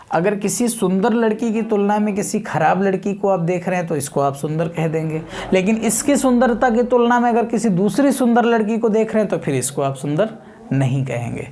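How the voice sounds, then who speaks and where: A man's voice: high voice, a little mellow. A man lecturing as a physics teacher, repeating key terms, asking rhetorical questions and mixing in English technical words. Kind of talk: exam coaching lecture with everyday examples.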